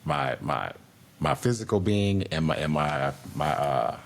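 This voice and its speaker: A man's voice: a bass voice, very animated, a little slow, vocal fry. A middle-aged man, a podcast guest, talking in a laid-back, rambling way with many restarts and fillers. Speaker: podcast guest, air traffic controller. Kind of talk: casual conversational interview.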